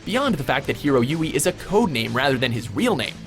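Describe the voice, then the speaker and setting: A man's voice: mid-range voice, sing-song, fairly quick. A man reading scripted countdown narration with a playful, punchy fan-commentary delivery. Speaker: narrator of a top ten countdown. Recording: music behind the voice. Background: background music.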